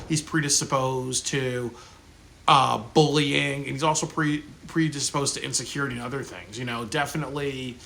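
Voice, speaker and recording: A man's voice: medium pitch, gravelly, bright, forceful tone. A man giving a casual, rambling spoken review, full of fillers like "um" and "you know" and frequent restarts. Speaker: video reviewer.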